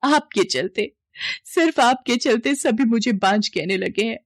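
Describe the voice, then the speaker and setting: A hurt young wife from an audio story, played by a woman narrator, indignant and pleading. Her voice sits fairly high and the recording is dry. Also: lively intonation, a little slow, dry recording. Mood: angry, hurt.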